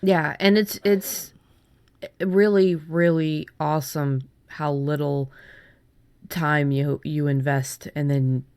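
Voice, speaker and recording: A woman's voice: sits low, fairly even intonation, slow pace, darkish tone, smooth, no room sound. A woman co-hosting a casual writing workshop chat, speaking informally and often breaking off and restarting her thoughts. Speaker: podcast co-host and writer.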